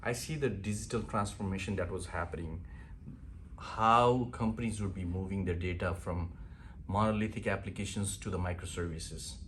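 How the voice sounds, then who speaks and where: A man in his forties with a Nepali accent speaks in a fairly low voice, earnest and plain, with frequent "uh" and "um" fillers. He talks like a founder giving a welcome address. A faint hum sits behind him. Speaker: university founder. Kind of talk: promotional welcome talk.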